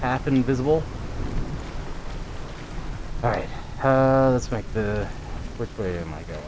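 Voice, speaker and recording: A man's voice: mid-range voice, expressive, takes it slowly. A man giving casual let's-play commentary, muttering short reactions as he plays a video game. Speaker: gamer, let's player. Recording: very noisy, game sound behind. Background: loud video game audio.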